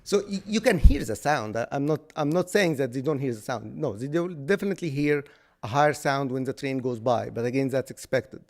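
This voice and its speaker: A man from Egypt, an engineering consultant, explaining technical findings at a public hearing in a loud voice with frequent restarts and fillers. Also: medium pitch, animated, fairly quick.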